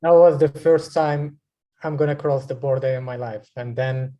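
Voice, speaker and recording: A man in his twenties from Morocco, a guest filmmaker speaking in an interview over a video call, often pausing and restarting as he searches for words. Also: medium pitch, level tone, dark tone, muffled recording. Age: 20s.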